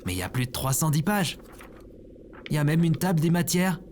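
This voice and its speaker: A woman's voice: low-pitched, big pitch swings, brisk, a little mellow, a little hiss or hum. A woman playing a lazy, indignant slacker man in his thirties in a comedy audio drama, whiny and incredulous. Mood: aggrieved.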